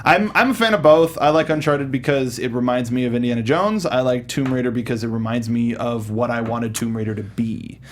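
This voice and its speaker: A man's voice: mid-range voice, fairly quick, few pauses, a little hiss or hum. A man hosting a casual review panel, restating phrases and joking as he goes. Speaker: panel show host steering segments. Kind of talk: casual film review chat.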